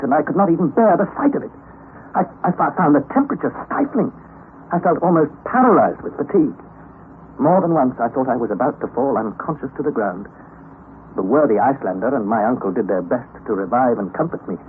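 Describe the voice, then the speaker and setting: A man's voice: medium pitch, lightly acted. A man acting a despairing young explorer, tinny old radio. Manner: formal, anguished, theatrical delivery. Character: weary, despairing young nephew narrating a perilous expedition. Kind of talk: dramatic first-person radio play narration. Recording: noisy, thin vintage radio recording.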